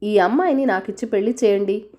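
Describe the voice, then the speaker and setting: A woman's voice: medium pitch, fast, dark tone, natural voice. A woman narrator voicing an earnest, insistent young king in a storybook folk tale. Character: young devout king, lovestruck and self-assured.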